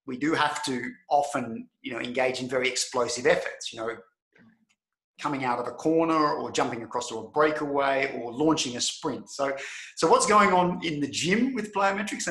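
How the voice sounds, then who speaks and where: A man's voice: mid-range voice, moderate pace, a bit bright. An Australian man hosting an interview, asking long, rambling questions with frequent restarts. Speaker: podcast host. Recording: echoey room.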